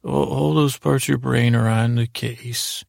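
A man with a gravelly, creaky voice improvising a bedtime story in a mild, friendly, meandering way, halting and circling back as he goes. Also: medium pitch, steady tone, darkish tone, dry recording, clean studio sound. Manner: meandering, halting, repeats and trails off; mild, friendly, absurdly digressive. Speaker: podcast host telling bedtime stories.

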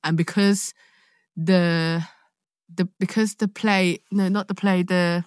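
A woman with a London accent in casual conversation, telling a personal story in a candid, expressive way. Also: on the low side, slow, smooth, dry recording, clean studio sound. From born in Nigeria, raised in London. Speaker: guest, actor.

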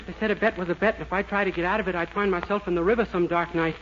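A man's voice: high-pitched, steady tone, racing, a bit bright. An actor playing a naive, polite and easily confused young man in a 1940s radio comedy, earnest and literal-minded. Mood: flustered. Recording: muffled, very noisy old radio recording.

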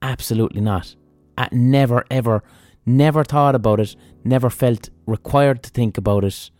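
A man's voice: mid-range voice, normal speed, darkish tone, dry recording, faint music behind. A man with an Irish accent hosting a podcast, talking bluntly in a casual monologue, swearing freely and thinking aloud as he goes. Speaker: podcast host.

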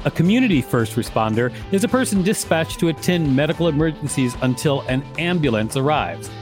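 A man's voice: on the high side, very animated, fairly quick, music in the background. A man co-hosting a podcast, reading encyclopedia text aloud. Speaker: podcast co-host and reader.

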